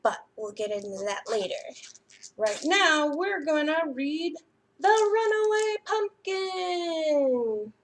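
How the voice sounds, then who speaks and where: A woman storyteller reading aloud to young children in a deliberate, sing-song way. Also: high-pitched, expressive, slight background noise.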